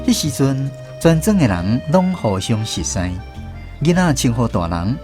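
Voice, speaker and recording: A man's voice: mid-range voice, very animated, measured pace, a little mellow, faint music behind. A man with a Taiwanese accent narrating a radio drama, playing a grown man who recalls his village childhood. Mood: reminiscing. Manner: storytelling, reminiscing.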